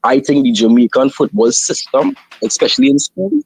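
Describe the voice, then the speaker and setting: A man from Trinidad and Tobago with a Trinidadian accent, calling in calmly and in a friendly way. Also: medium pitch, a little fast, gravelly, breathy edge. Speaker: caller. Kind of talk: casual football talk. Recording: dull lo-fi call audio.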